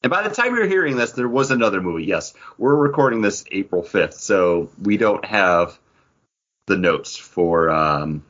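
A man hosting a casual podcast, chatting in an animated, joking way with quick asides. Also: fairly low pitch, muffled recording. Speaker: podcast host.

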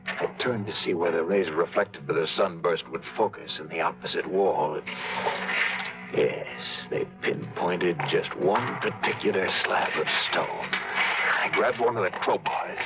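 A deep hard-boiled adventurer. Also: male voice, unhurried, loud music behind the voice. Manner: terse, clipped hard-boiled delivery. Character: hard-boiled male adventurer narrating his hunt. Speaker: narrator and lead. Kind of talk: 1950s radio drama narration. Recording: dull old radio broadcast recording.

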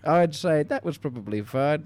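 Playful man, high and lively. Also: moderate pace, dry recording. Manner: playful, mock-formal questioning. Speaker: podcast co-host. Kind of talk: comedic podcast banter.

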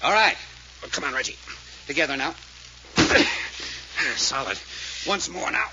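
An actor playing a tough, commanding detective adventurer in 1940s radio style, blunt and brisk, on a muffled, noisy old recording. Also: male voice, on the high side, expressive. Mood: urgent.

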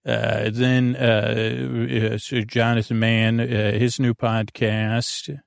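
A man hosting a sleep podcast in a meandering, halting monologue, often stammering and trailing off, with a creaky, droning voice recorded in a small closet. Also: mid-range voice, flat delivery, no echo, studio quality. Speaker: podcast host. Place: small closet under the stairs.

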